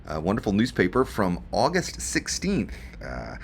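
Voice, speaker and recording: Male radio presenter, folksy and chatty showman, highly animated intonation, faint noise behind. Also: normal speed, gravelly. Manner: chatty showman addressing listeners, with dramatic repeats. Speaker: radio show host.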